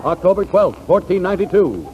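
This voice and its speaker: High-pitched 1940s radio announcer man over music. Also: sing-song, brisk. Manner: grandiose, polished. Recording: dull old radio broadcast, loud music.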